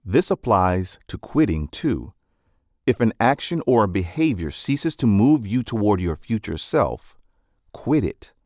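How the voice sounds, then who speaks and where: A man reading scripted nonfiction narration in a measured, instructive manner, as for an audiobook summary. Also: on the low side, very animated, a little mellow, no echo, clean studio sound.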